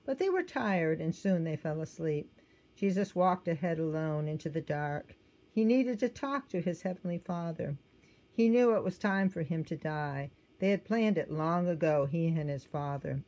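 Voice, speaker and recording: A woman with a lowish, soft voice reads a children's storybook aloud. She tells it gently and with expression, and now and then she stops to point out what is happening in the picture. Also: lively intonation, moderate pace. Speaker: storybook reader.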